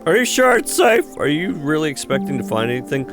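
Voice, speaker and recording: A man narrating a horror visual novel aloud as a host, with expressive storytelling. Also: fairly high pitch, quick pace. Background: background music with guitar.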